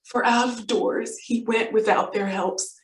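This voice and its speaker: A young woman playing a frightened young noblewoman in a Shakespearean stage monologue, with a formal, measured, dramatic delivery. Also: fairly high pitch, fairly even intonation, close to an everyday voice. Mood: troubled, sorrowful. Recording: roomy, distant microphone.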